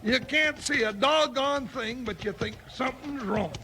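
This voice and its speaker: A very high-pitched man's voice as a burly, tired cowboy sidekick in an old radio western. He grumbles and complains in folksy comic fashion over a noisy vintage recording. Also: normal speed, bright, forceful tone, exaggerated voice. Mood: exasperated. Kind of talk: 1950s radio western acting.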